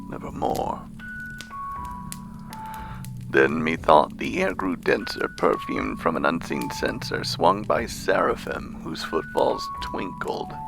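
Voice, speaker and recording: A man giving a theatrical, dramatic recitation of gothic horror poetry, swinging between hushed and loud delivery. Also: a bass voice, gravelly. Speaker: reader. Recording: music under the voice. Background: music.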